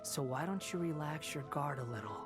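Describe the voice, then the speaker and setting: A man's voice: fairly high pitch, animated, fairly quick, natural voice. A man voicing a smug, aloof young wanderer in a video game, curt and sardonic. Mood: smug, condescending. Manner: curt, condescending, cool. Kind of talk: animated video game voice acting. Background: music.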